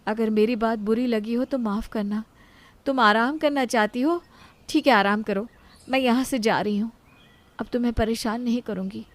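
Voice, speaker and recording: A woman voicing a caring, anxious elder sister-in-law in a moral story, gentle and pleading. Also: on the high side, fairly even intonation, normal speed, close to an everyday voice. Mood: apologetic. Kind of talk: Hindi moral story character dialogue.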